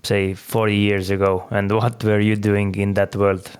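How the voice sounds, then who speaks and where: A man hosting a podcast in relaxed conversation who laughs easily and uses many fillers. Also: fairly low pitch, unhurried, clean tone. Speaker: podcast host. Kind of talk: casual interview talk.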